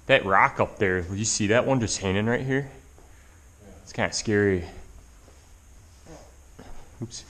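A man's voice: on the low side, fairly bright tone, a little hiss or hum. A man chatting casually to his camera as he explores a cave, thinking aloud as he goes. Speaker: vlogger exploring caves. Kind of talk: casual handheld exploration commentary. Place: inside a limestone cave.

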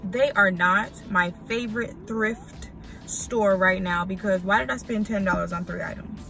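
Young american woman vlogging on her phone, informal n slangy, mid pitch, music behind her. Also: moderate pace, gravelly. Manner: informal, slangy, animated asides. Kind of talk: casual vlog chat. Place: inside a parked car and at home.

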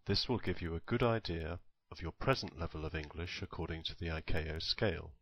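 A man reading scripted tutorial narration in a measured, instructional manner. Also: deep voice.

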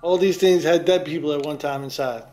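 Male exploration sidekick, slightly high voice, casual remarks, distant from the mic with clear noise. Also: a little fast, dark tone, soft background music. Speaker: exploration companion. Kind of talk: casual chat. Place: inside an empty abandoned hospital building. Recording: echoey, noisy, far from the mic.

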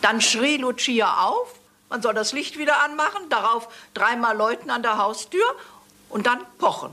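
An actress playing an older, chatty and fussy English spinster aunt in a German crime radio play, rambling effusively. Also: female voice, a little high, lively intonation, normal speed, sharp tone. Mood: intent recalling.

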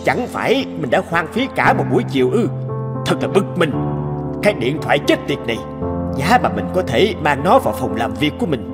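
Harried male scientist, lively intonation. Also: fairly high pitch, brisk, over loud music. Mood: exasperated. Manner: harried, grumbling. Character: busy, grumbling male research scientist, adult, absorbed in work. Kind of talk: cartoon voice acting.

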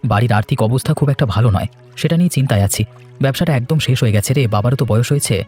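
A man's voice: medium pitch, racing, mellow, breathy edge, faint music behind, close to an everyday voice. A man playing a worried, dutiful young married son in a cartoon, speaking earnestly and reassuringly. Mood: downcast. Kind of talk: cartoon voice acting, domestic moral story.

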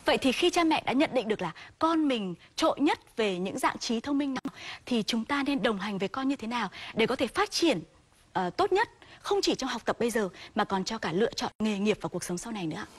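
A woman in her thirties hosting a TV studio interview, asking brisk, polite questions on behalf of parents, on a lapel mic. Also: fairly high pitch, lively intonation, husky. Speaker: TV morning show host.

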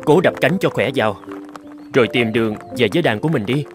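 Poor young scholar man actor: gentle, lonely, wondering, mid-range cartoon voice over music. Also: mid-range voice, fast talker, natural voice. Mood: pitying, gentle. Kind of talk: cartoon fairy-tale dub.

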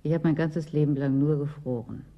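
A woman's voice: sits low, fairly quick, highs cut off. An actress in a German radio play, playing a weary, plaintive woman who is always cold and broods on her memories. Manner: brooding, plaintive, lapsing into reminiscence. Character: weary, always-freezing woman property owner, plaintive and wistful.

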